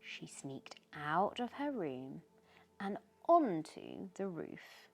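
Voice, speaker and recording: A woman reads a children's bedtime story aloud in an expressive, gentle storytelling manner. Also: low voice, takes it slowly, gravelly, faint music behind. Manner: expressive, gentle storytelling with questions posed to the listener. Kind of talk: bedtime story read aloud with short asides.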